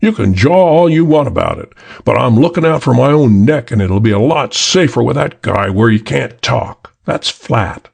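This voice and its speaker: A man's voice: mid-range voice, sing-song, unhurried, creaky, few pauses, breathy edge, exaggerated voice. A man doing a blustering tough gangster. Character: brash blustering male gangster. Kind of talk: audiobook character reading.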